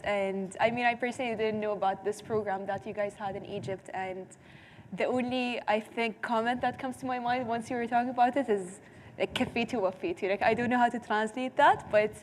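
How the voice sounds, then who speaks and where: A young woman, a native Arabic speaker, moderating a panel in a casual, informal way. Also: fairly high pitch. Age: young adult. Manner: casual, frequent "like". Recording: reverberant hall, some background noise.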